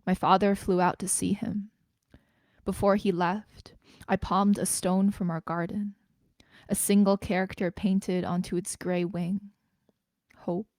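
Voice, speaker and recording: A woman's voice: medium pitch, flat delivery, unhurried, a little mellow, no echo, clean studio sound. A woman, a spoken word poet performing and introducing her own work. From Canada, Greater Toronto Area.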